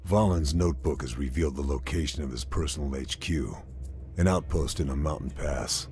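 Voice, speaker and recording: A man playing a grim, calculating lone army sniper who narrates his mission in a measured, restrained way. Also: extremely low pitch. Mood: grim, focused. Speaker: protagonist narrator. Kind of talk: scripted mission briefing narration. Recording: music behind the voice.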